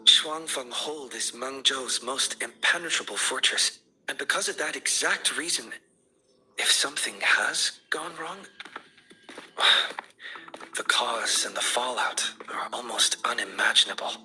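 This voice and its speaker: An actor playing a thoughtful, courteous academy researcher in a video game, explaining things in an articulate, deliberate way. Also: male voice, mid-range voice, sharp tone, poor audio. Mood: grave, explanatory. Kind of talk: scripted video game exposition.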